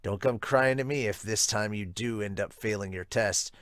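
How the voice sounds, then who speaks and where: A man voicing a stern, exasperated high school boy grumbling at his friends in a manga dub. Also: moderate pace, fairly bright tone, no echo, clean studio sound, lightly acted.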